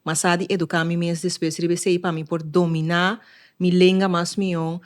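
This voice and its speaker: Curaçaoan woman guest, low and thoughtful, conversational. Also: flat delivery, normal speed. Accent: Curaçao Papiamentu. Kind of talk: casual podcast conversation.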